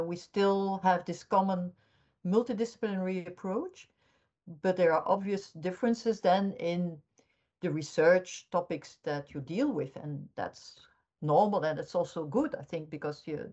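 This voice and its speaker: Older lady prof from belgium, lowish voice, explains slow and careful, kinda dull lo-fi sound. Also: a little mellow. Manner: measured, explanatory, frequent restarts. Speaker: criminology professor, guest. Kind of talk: academic interview answers. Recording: dull lo-fi audio.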